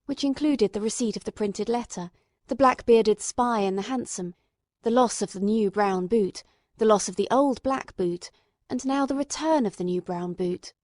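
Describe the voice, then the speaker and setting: A woman's voice: mid-range voice, moderate pace, a little mellow, no echo, clean studio sound. A woman reading a classic detective story as a scripted audiobook narrator.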